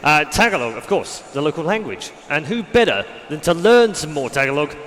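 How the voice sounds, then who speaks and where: A man hosting a live event segment, teasing the panel and moving things along briskly. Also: on the high side, sing-song, a bit bright, in a large hall. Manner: brisk, teasing, quick transitions. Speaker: event host.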